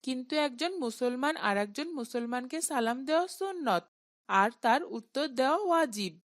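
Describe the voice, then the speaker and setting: Brief: woman playing a respectful earnest boy, a bit high, dry close sound. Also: lively intonation, moderate pace, no room sound. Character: polite well-mannered young boy.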